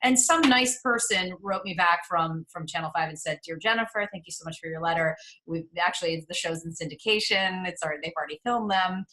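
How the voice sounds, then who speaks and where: A woman's voice: on the low side, a little fast, sharp tone, poor audio. A woman in her forties with a Midwestern American accent, a casting director guest telling stories in a chatty interview. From New York City. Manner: chatty storyteller, frequent laughs and restarts. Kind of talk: casual interview chat.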